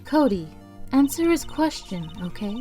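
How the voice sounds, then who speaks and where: Calm, earnest female lawyer mentor woman acting, mellow and gentle, for a courtroom game. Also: sits high, a little slow, soft background music. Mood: reassuring. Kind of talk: fan-dub visual novel voice acting.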